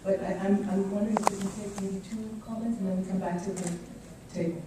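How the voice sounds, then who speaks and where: A woman politely moderating a formal question session in a measured way. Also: medium pitch, fairly even intonation. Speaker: moderator of a briefing. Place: meeting room without microphones for speakers. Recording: echoey room, distant microphone, faint noise.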